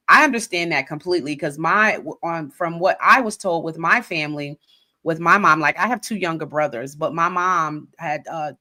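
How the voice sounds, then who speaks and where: Female host, middle-aged, low-pitched, lively and expressive in her questions and stories. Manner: expressive, animated storyteller. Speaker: podcast host. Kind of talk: conversational interview.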